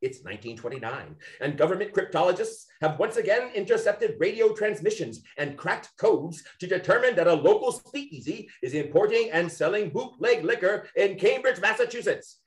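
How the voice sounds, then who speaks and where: A man's voice: high-pitched, lively intonation, moderate pace, hardly any pauses, poor audio. A man announcing a mystery play in theatrical scripted narration.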